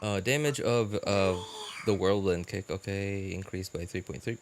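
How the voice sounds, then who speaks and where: A man casually chatting as a live-stream host, answering viewers in a halting way and repeating his phrases. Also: deep voice. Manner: halting, repeats phrases, animated incredulous reactions.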